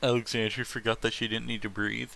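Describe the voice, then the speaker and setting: A man reading fan fiction aloud as host, breaking off for animated, opinionated and profane casual commentary. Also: mid-range voice, brisk, vocal fry, slight background noise.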